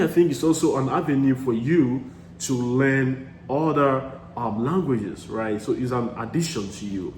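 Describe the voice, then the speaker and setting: A man in the middle of his usual pitch range talks straight to camera, giving earnest, emphatic advice. He repeats key phrases to drive them home and often restarts his sentences. The room has a noticeable echo and a faint hum of noise behind him. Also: a little mellow. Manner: frequent restarts and fillers, earnest. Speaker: study-abroad advice vlogger. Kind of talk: casual advice talk to camera.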